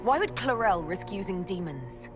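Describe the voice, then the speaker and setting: An actress playing a stern heroic leader in a video game cutscene, speaking in a firm, direct, serious way. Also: female voice, lowish voice, sing-song, normal speed, music in the background. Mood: questioning, serious. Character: stern heroic woman leader confronting a villain.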